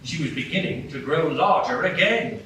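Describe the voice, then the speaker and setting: A man narrating a live radio play in a calm, steady reading manner. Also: on the high side, normal speed. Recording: echoey room from a distance, loud noise.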